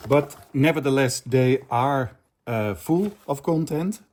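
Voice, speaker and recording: A middle-aged man chatting casually as a video host reviewing gear, with a hesitant, halting delivery. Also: medium pitch, darkish tone.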